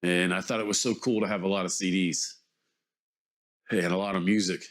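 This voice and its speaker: A man's voice: deep voice, fairly even intonation, normal speed. A man in his forties, mildly congested, giving a casual, rambling vlog monologue in which he hesitates, corrects himself and circles around his point. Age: 40s. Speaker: vlogger.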